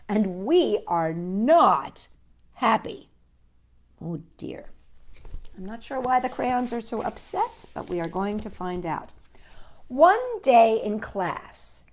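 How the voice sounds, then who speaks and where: A woman hosting children's storytime, reading a picture book aloud expressively and deliberately with chatty asides. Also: medium pitch. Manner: expressive, deliberate, talking to young listeners.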